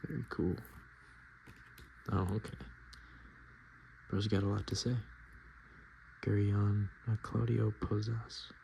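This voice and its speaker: A man softly speaking and whispering in a casual, murmuring ASMR style, as host showing items to the viewer. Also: lowish voice, flat delivery, slow pace, vocal fry, pauses often, slight background noise, low-quality recording. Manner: hushed, casual murmured asides. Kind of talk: ASMR, softspoken and whispered card show-and-tell.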